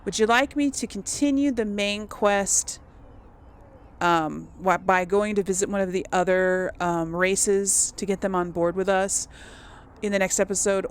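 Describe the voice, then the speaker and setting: A woman's voice: medium pitch, slight background noise. A woman hosting a gaming video with casual let's play commentary, chatting and musing aloud.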